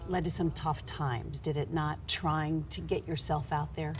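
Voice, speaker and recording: Lady tv host, low voice, brisk questions, faint music behind. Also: steady tone. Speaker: TV interview host. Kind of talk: TV studio interview.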